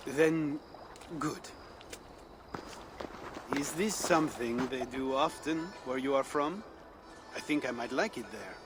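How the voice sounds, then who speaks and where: A man's voice: medium pitch, takes it slowly, pauses often, close to an everyday voice. An actor playing a playful, pleasure-loving Frankish man in a video game, with a teasing, suave and theatrical manner. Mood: coy, pleased. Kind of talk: dramatic video game cutscene acting. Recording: music under the voice.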